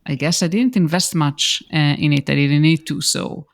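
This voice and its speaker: A woman's voice: sits low, moderate pace. A woman podcast host, a native Hebrew speaker with an Israeli accent, chatting casually with hesitant, searching phrasing. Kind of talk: casual interview chat.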